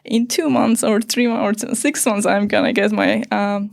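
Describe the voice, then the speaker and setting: A woman in her thirties from Iran, a native Persian speaker, talking in a hesitant, halting way with many fillers as an interview guest. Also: mid-range voice, steady tone, vocal fry, no echo, studio quality. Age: 30s. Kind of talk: casual interview conversation.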